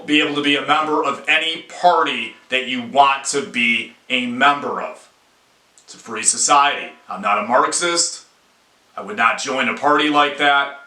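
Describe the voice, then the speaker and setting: Casting: American male commentator, hard bright tone, deliberate and opinionated delivery, recorded in a reverberant room. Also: mid-range voice. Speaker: independent news commentator, video host. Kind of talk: opinion commentary to camera, outlined talk. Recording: echoey room.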